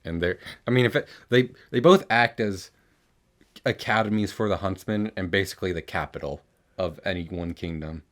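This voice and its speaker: A man's voice: deep voice, normal speed, a bit bright. A young man giving a casual, rambling recap as a podcast host, swearing freely as he goes. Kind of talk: casual chatty recap of an animated series.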